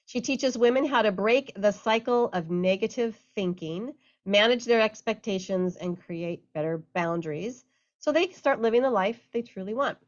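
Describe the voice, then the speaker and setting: A woman's voice: medium pitch, normal speed, dull audio. A woman co-hosting a radio talk show, chatting enthusiastically and introducing a guest. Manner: enthusiastic, upbeat. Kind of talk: casual chat and guest introduction.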